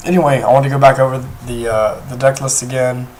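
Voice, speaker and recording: A young man with a hoarse voice, talking casually through a card game deck, rambling with many pauses and restarts. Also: medium pitch, little pitch movement, a little mellow. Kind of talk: casual deck profile talk. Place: indoors at a table, off camera.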